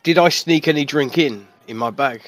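A man's voice: a little high, moderate pace. A man in his twenties, a player at a tabletop game, chatting casually and joking with frequent laughs. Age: 20s. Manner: jokey, laughs often.

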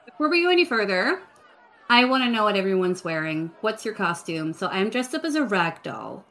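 Lively young woman, animated pitch. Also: a little fast. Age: 20s. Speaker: player.

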